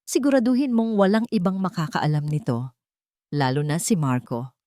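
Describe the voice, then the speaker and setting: A woman's voice: on the low side, moderate pace, mellow, no echo, studio quality, close to an everyday voice. A woman voicing a serious, commanding wealthy patriarch in an audio story.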